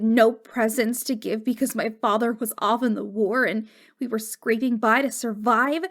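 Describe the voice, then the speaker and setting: A woman playing a wistful, wounded ghost girl in an intimate ASMR roleplay, speaking in a halting, fragile, stammering way. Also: high voice. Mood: distraught, pleading. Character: wistful, wounded ghost girl, long dead since wartime.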